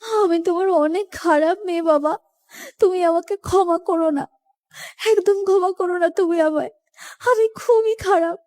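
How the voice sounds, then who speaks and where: An actress playing an emotional, remorseful young daughter in a horror cartoon story. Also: female voice, very high-pitched, normal speed, a little mellow, no room sound. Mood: tearful guilt. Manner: pleading, emotional.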